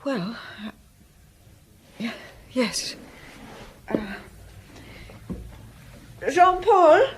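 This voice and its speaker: A woman's voice: high-pitched, big pitch swings, extremely slow, pauses often, a little hiss or hum, full character voice. A woman playing an anxious, protective wife and mother in a radio drama, speaking formally and hesitantly. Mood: nervous, protective.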